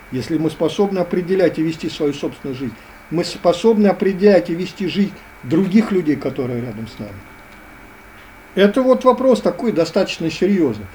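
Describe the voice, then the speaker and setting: A man explains ideas earnestly, in a lecturing way that keeps returning to the same phrases. His voice is mellow and a little higher than most men's. A faint hiss sits under the recording. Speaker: guest. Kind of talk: philosophical interview talk.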